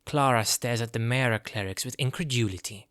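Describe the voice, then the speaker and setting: A man narrates a scripted fantasy drama in a mid-pitched voice. The sound is close and very dry, like a studio booth. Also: fairly quick, fairly bright tone, no room sound. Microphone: close, dry studio mic.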